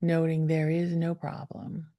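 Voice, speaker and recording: A woman leading a guided meditation, speaking gently and without hurry, with soft, breathy phrase endings that fall toward a whisper. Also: low-pitched, monotone. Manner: gentle, unhurried, with long pauses. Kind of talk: guided meditation, slow soothing instruction.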